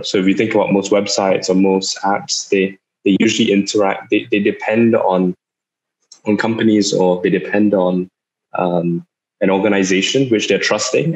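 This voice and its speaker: A young man in his twenties from Singapore, a startup founder giving an online talk, speaking haltingly with many fillers and repeated words. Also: low-pitched, darkish tone, rough recording. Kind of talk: online talk over a video call. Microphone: video call.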